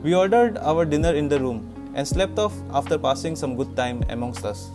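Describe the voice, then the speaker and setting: Indian man narrating, loud music underneath. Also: fairly high pitch, expressive, moderate pace. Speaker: travel vlogger narrating own trip. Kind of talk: scripted travel narration.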